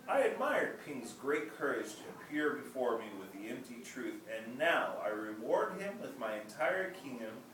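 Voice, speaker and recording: A man voicing a very old emperor who proclaims loudly and grandly. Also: medium pitch, very animated, moderate pace, hardly any pauses. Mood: joyful proclaiming. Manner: loud, declaiming. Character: very old emperor, proud and commanding. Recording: echoey room, distant mic, noisy.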